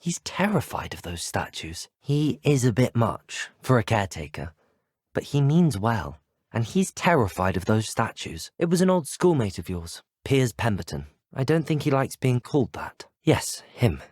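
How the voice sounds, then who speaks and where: A young man with a British accent voicing a polite, inquisitive student wizard in a video game, measured and well-spoken. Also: medium pitch, big pitch swings, a little mellow, breathy edge, no echo, studio quality. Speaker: video game player character. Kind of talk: scripted game dialogue.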